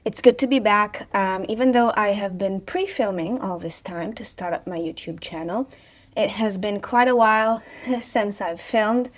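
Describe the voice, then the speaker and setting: A woman's voice: mid-range voice, moderate pace. A woman, chatting casually on her vlog with sarcastic asides and frequent fillers. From Israel. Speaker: vlogger, personal trainer.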